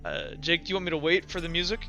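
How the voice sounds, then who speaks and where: A man acting as game master, narrating a tabletop roleplay session in a casual, halting, informal way. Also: fairly high pitch, fairly even intonation, gravelly, sharp tone, muffled recording, soft background music.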